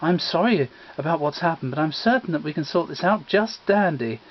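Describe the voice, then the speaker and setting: A man's voice: on the high side, animated, brisk, highs cut off. A man voicing a crumpled veteran American police lieutenant in a comic mystery, polite, folksy and meandering. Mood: courteous.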